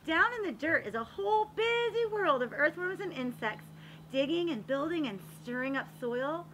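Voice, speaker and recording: A woman reads a picture book aloud for young children. Her voice is high and bright, with lots of melodic swing and an expressive, explanatory manner. There is faint noise in the background. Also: normal speed, low-quality recording. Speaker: read-aloud reader for children.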